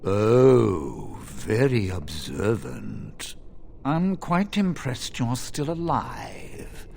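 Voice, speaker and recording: A mocking demon lord for a fantasy game. He speaks extremely slowly, with gravelly creaks and huge theatrical swoops of pitch. The manner is sinister and mock-polite, with some noise behind it. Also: huge pitch swings, darkish tone, full character voice. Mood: mocking welcome. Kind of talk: fantasy video game villain acting.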